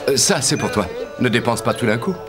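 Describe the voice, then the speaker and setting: A man voicing a cheeky, boastful soldier in an animated fairy tale, with a theatrical, exclamatory and wry delivery. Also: mid-range voice, a little fast, close to an everyday voice. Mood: smug, carefree. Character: cheeky, boastful soldier, comically excitable adventurer. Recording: loud music under the voice.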